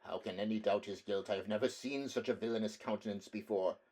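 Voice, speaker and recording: A man's voice: lowish voice, quick pace, a little mellow. A foppish young nobleman with an affected lisp, as a man puts him on. He drawls in a sly, dainty manner. It belongs to a read-aloud adventure story. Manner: lisping, affected.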